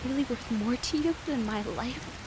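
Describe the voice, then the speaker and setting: An actress voicing a hurt, defiant young woman of nearly eighteen, pleading and accusing her father, with a steady noise behind. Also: a little high, normal speed, lightly acted. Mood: anguished. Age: young adult. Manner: pleading, then anguished and accusing.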